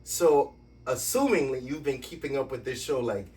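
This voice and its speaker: A man hosting a podcast from a basement home studio, giving lively, playful introductions and questions. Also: mid-range voice, moderate pace, far from the mic. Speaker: podcast host. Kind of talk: podcast interview.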